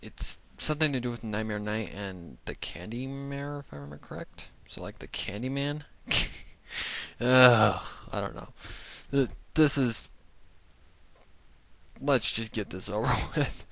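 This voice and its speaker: A man's voice: medium pitch, vocal fry, a bit bright, no room sound. A man hosting a reaction video with casual, off-the-cuff commentary.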